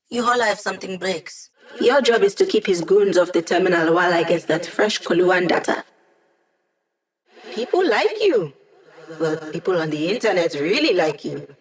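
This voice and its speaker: A woman's voice: mid-range voice, close to an everyday voice. An actress voicing a cocky, sardonic young female hacker who lives as a hologram in a video game, with slangy, teasing delivery. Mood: wry, then playful, teasing. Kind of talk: video game character voice lines.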